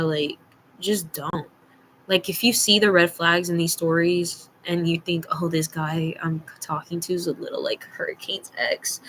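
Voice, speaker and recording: A woman's voice: fairly low pitch, fairly bright tone, a little hiss or hum, low-quality recording. A young adult woman telling a personal story casually, in a blunt, slangy, emphatic way, acting out bits of dialogue. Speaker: storytime vlogger.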